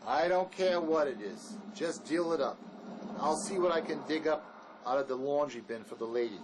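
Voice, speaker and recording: A hard-nosed man on the run , played by an actor, speaks in a slightly high voice. He is blunt, bossy and full of sarcasm, in the style of a tense drama scene. A faint ambient rumble sits under the recording. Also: lively intonation, moderate pace, lightly acted. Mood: irritated. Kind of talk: dramatic dialogue acting.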